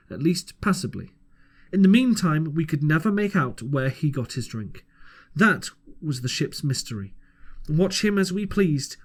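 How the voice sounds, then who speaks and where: A man with a slightly high voice reads an old seafaring tale aloud. He narrates from a script as an amateur audiobook reader, now and then tripping over a word and taking it again. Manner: stumbles over words and rereads phrases. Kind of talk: scripted storybook narration of an old sea adventure.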